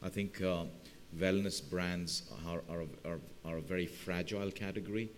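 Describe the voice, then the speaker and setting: Deep-ish monotone guy on a conference panel, echoey room. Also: normal speed. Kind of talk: unscripted panel discussion. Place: conference session in a large room. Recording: hall reverb with faint hum.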